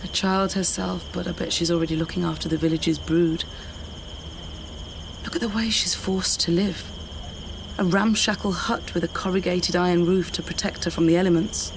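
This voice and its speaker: A woman's voice: on the low side, fairly even intonation, normal speed, creaky. An actress playing a weary, candid middle-aged British woman in a naturalistic radio drama. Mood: wistful, pitying. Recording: night insects and outdoor ambience noise.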